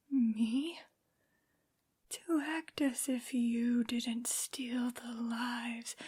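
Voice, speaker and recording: A woman performs a haughty vampire noblewoman in an ASMR roleplay. Her high voice is very breathy, mostly whispered, and she draws out each imperious, menacing phrase extremely slowly. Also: darkish tone, exaggerated voice. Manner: imperious, slow, drawn-out scolding. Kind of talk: soft-spoken ASMR roleplay.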